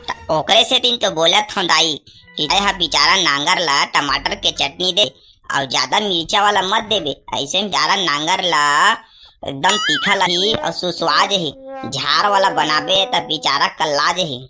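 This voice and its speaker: A woman's voice: fairly low pitch, unhurried, sharp tone, faint music behind. A woman voicing an earnest, silly village boy in a cartoon comedy, with a Chhattisgarhi accent. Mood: earnest arguing.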